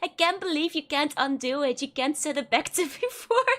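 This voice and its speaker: A woman's voice: high-pitched, animated, moderate pace, fairly bright tone. A woman with a Dutch accent chatting casually while streaming a game, giggling often between remarks. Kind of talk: casual live gameplay chat.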